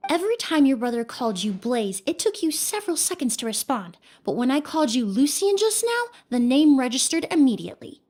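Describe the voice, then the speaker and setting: A calm, deductive perfumer. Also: female voice, sits high. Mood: probing. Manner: calm, measured, deductive, polite. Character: composed young woman perfumer investigator. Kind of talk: video game cutscene acting.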